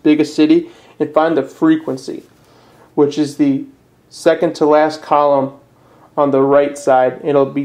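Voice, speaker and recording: Man with flat, even-toned tutorial delivery. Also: fairly high pitch. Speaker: presenter of a how-to video. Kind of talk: casual step-by-step product tutorial.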